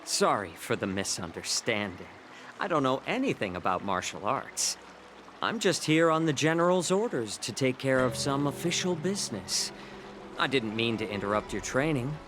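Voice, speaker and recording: A man voicing a polite, sly, composed fox-man healer in an anime game, speaking in a measured, courteous way. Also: medium pitch, big pitch swings, background noise, lightly acted. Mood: apologetic. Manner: courteous, measured, gently needling. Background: music.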